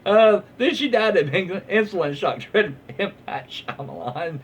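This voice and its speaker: A man with a Southern US accent and a husky voice reading online posts aloud in a casual, comedic, easily amused way. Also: high voice, sing-song, a little slow.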